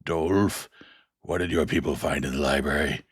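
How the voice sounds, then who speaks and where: A man voicing a blunt, gruff townsman who speaks his mind. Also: rumbling low, normal speed, dry recording, clean studio sound, heavily acted. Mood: mocking. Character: gruff shouting man, skeptical.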